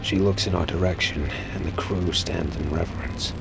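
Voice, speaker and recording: An actor playing a weary, uneasy space pirate with a brooding manner, as in a first-person audio drama. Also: male voice, sits low, normal speed, vocal fry. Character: weary, uneasy male space pirate narrating his ordeal. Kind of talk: audio drama acting and narration. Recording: music behind the voice.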